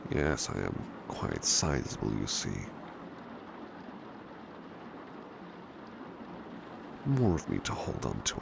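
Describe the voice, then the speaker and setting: A man playing a devoted, protective butler in an intimate ASMR roleplay, speaking in a hushed, gentle, courteous and hesitant British voice. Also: a bass voice, gravelly, exaggerated voice. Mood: cosy, tender. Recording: steady background noise, rain ambience. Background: rain ambience.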